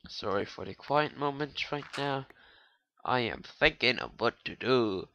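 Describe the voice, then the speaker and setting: A man's voice: medium pitch, a little slow, pushed and bright, dull audio, slight background noise. A young man with a non-native English accent, rambling and swearing casually as he comments on his own gameplay. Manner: rambling, swearing, joking to viewers. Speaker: gaming YouTuber.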